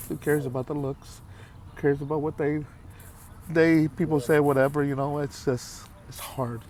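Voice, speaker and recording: A man in his late fifties talking candidly to his viewers in a halting, heartfelt way, with many pauses and repeated phrases. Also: fairly high pitch, flat delivery, a little mellow, slight background noise. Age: 50s. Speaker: vlogger. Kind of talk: candid talk to camera.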